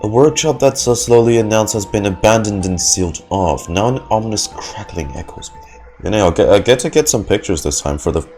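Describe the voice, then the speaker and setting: A man's voice: on the low side, animated, fairly quick, few pauses, darkish tone. A man giving casual, blunt, sweary reaction commentary as he streams a game. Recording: game music behind the voice.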